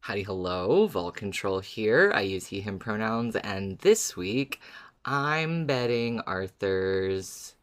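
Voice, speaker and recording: A man's voice: lowish voice, expressive, takes it slowly. A man co-hosting a podcast, giving casual recaps with wry, dramatic phrasing. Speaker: podcast co-host.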